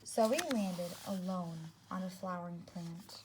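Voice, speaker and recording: A woman teacher reading a story aloud to young children, speaking expressively and deliberately, with asides to her second-grade listeners. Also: lowish voice, fairly even intonation, noisy background.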